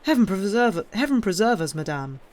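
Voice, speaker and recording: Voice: medium pitch, moderate pace, close to an everyday voice. A reader voicing a startled nobleman in an audiobook, speaking in urgent, stammering exclamations. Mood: alarmed. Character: agitated nobleman, a magistrate, anxious. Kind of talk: audiobook character voice.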